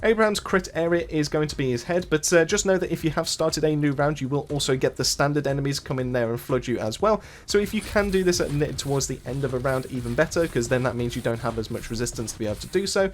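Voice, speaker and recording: Fast-talking man narrating a game guide. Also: fairly high pitch, slight background noise. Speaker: gaming channel host. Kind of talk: casual video game walkthrough narration.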